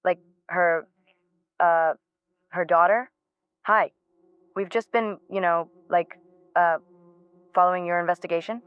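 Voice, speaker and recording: A woman's voice: lowish voice, steady tone, unhurried, pauses often, clear, resonant tone, dry recording, phone-quality sound, close to an everyday voice. A woman playing a casual, awkward teenage girl with a hesitant way of talking in an audio drama. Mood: nervous.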